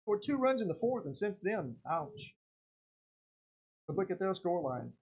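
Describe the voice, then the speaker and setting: A baseball play-by-play commentator with a slightly rough, husky voice at a middling pitch. The delivery is casual and folksy, drifting between live calls and relaxed conversation with short exclamations. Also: measured pace.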